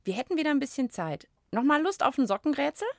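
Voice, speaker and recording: A woman's voice: high voice, animated, fast, dry recording. A woman hosting a children's podcast in a studio, chatting playfully and explaining hands-on experiments in an encouraging way.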